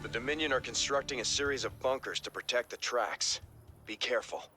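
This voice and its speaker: A man playing an earnest military officer who briefs his commander in a crisp, formal, dutiful way for a video game. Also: mid-range voice, fairly quick, sharp tone, soft background music, lightly acted. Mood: urgent, formal.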